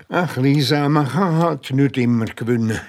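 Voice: mid-range voice, slow. Wry know-it-all boy sidekick, sceptical, detective audio play. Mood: wry, teasing. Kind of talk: German audio drama dialogue.

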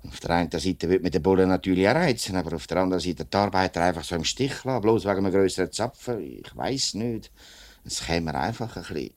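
An actor playing an upright working-class family man in a 1950s radio play, speaking with a Swiss German accent in a hesitant, deliberate way. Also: sits low, expressive. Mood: earnest, firm. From Switzerland. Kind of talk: mid-century radio play acting. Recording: vintage 1950s radio drama recording.

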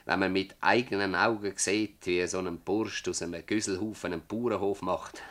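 Low-voiced old Swiss farmer, stubborn. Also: male voice, fairly even intonation, moderate pace, a bit bright. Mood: agitated, rueful. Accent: Swiss German. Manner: rambling, emphatic, rhetorical repetition. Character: old Swiss village farmer, bitter, plain-spoken, stubborn. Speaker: radio drama actor. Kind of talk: Swiss dialect radio play acting.

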